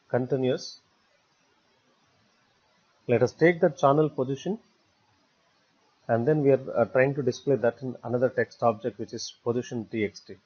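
Husky man teaching software. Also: medium pitch. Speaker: software tutorial instructor. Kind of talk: step-by-step screen tutorial narration. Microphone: voice-over recorded for screen capture. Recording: dull, muffled lo-fi voice recording.

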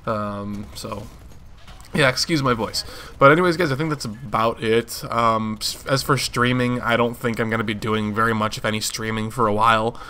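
A hoarse young man in his twenties, rambling casually through a channel update for his viewers. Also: on the low side, normal speed, slight background noise. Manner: rambling, relaxed, many fillers. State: hoarse from allergies. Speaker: gaming channel host.

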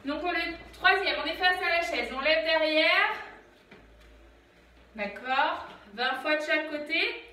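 A young woman leading a home workout, calmly counting reps and cueing breaths as she exercises in an attic room, recorded on a phone set far back. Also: very high-pitched, measured pace, heavy reverb. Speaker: fitness instructor. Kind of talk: guided home workout instruction. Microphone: phone camera from a distance.

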